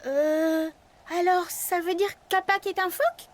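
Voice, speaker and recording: A woman's voice: very high-pitched, steady tone, unhurried. A woman voicing a small, timid baby animal in a cartoon dub, with a childlike manner. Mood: hesitant. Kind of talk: cartoon dubbing.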